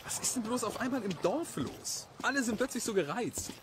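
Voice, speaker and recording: Casting: actor as worried young villager, high and animated, earnest, questioning, noticeable background noise. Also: male voice, fairly quick. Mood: puzzled. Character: puzzled, worried young villager, hero's best friend.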